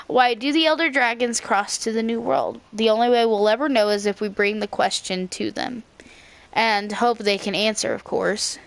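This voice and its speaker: A woman's voice: on the high side, moderate pace, creaky. A woman voicing a curious chief ecologist. She reads the game's dialogue aloud in her own slightly scratchy voice. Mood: musing. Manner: reading on-screen dialogue aloud.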